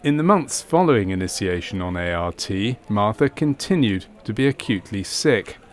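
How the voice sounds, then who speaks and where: A man reading scripted documentary narration for a medical training film in a measured, factual manner. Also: lowish voice, lively intonation. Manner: measured, factual delivery of clinical case history.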